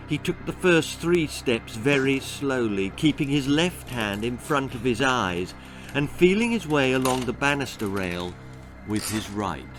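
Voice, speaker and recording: A man reading a spooky, comic children's story in a theatrical, suspenseful manner. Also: medium pitch. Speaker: author reading own story. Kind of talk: dramatic children's horror-comedy storytelling.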